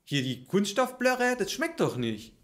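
A man's voice: high voice, big pitch swings, a little slow, fairly bright tone, heavily acted. A man in an improvised audio play playing a contented, simple-hearted warehouse worker, earnest and easily baffled. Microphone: microphone on stand.

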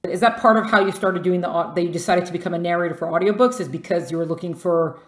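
A woman's voice: on the low side, fast talker, roomy sound. A woman hosting a podcast, an author interviewing a guest in a casual, enthusiastic manner with frequent laughter.

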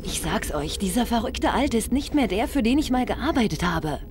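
A woman's voice: a little high, big pitch swings, moderate pace, noisy background. A woman voicing a determined, impatient furry scientist in a game cutscene, speaking assertively. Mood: firm, urgent. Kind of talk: animated game cutscene acting.

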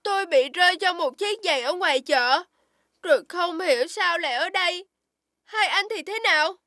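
A woman's voice: very high voice, normal speed, pushed and bright, no room sound, clean studio sound. A woman voicing a small, naive, polite tiny-folk character with a childlike, plaintive manner in a children's cartoon fairy tale. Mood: polite, curious. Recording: music under the voice. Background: music.

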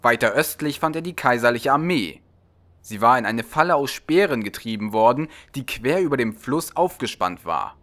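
A man's voice: medium pitch, lively intonation, moderate pace, a bit bright. A man narrating a storybook from a script as an audiobook reader.

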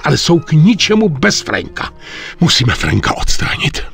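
A stern aging crime boss, with a creaky edge to his voice. He speaks gravely and with authority, his pitch moving widely, as in a dramatic game cutscene over music. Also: sing-song, fairly bright tone, heavily acted. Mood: grim resolve. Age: older.

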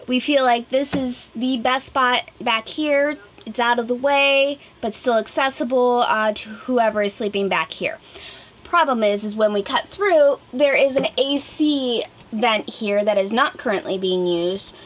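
A woman's voice: fairly high pitch, measured pace. A woman in her thirties casually explaining a DIY project as a vlog host. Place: small wood-panelled boat cabin indoors.

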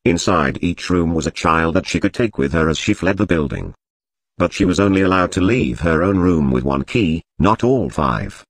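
A man voicing a candy robot in a video game, telling grim tales in a measured, deadpan way. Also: low voice, dry recording. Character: monotone candy-dispensing robot telling grim bedtime stories. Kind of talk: scripted video game character narration.

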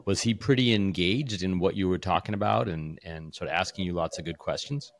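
A man with a low voice co-hosts a podcast. He comments and asks questions conversationally, recorded close and dry. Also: brisk, no room sound. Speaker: podcast co-host. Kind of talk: conversational interviewing.

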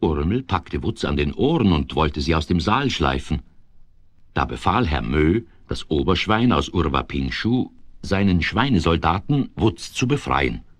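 A man narrating a 1970s children's radio play in a measured, expressive way that builds suspense. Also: very deep voice, no echo, heavily acted. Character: male narrator of a whimsical children's tale.

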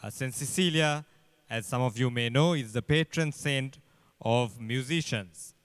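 A young man with a Sri Lankan accent acts as master of ceremonies. His voice is smooth and clear at an average pitch. He makes formal announcements into a handheld mic, with little room sound. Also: measured pace, a bit bright, no echo. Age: young adult. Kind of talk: formal announcing at a choir concert. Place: large church, on stage. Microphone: handheld microphone.

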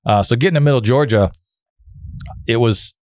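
A man in his thirties talking casually as a podcast guest, telling stories in an informal, halting way. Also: mid-range voice, no room sound, studio quality. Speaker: podcast guest, former helicopter flight instructor. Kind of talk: casual conversational storytelling. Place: small furnished podcast room indoors. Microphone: broadcast microphone on boom arm, close.

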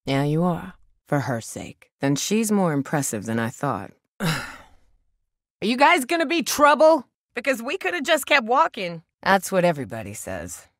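A woman's voice: fairly low pitch, huge pitch swings, normal speed, no room sound. A woman voice actor playing a guarded, blunt, protective young survivor of a zombie apocalypse in a video game, with a dry delivery. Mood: alarmed, angry.

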